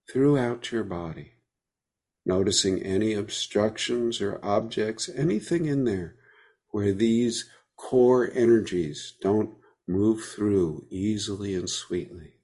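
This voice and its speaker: A man leading a guided meditation, speaking gently with unhurried pauses between phrases. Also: lowish voice.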